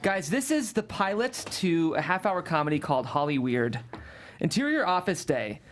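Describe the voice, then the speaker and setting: A man's voice: on the high side, very animated, moderate pace, a little hiss or hum. A man hosting a script table read, introducing it and reading out stage directions. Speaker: host and writer of the script.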